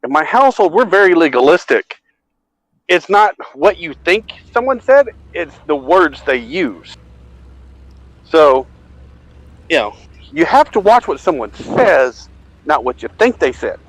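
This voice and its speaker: A man's voice: a little high, big pitch swings, measured pace, long pauses, poor audio. A middle-aged man telling a rambling, self-deprecating anecdote in a casual way, acting out bits of dialogue. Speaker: storyteller.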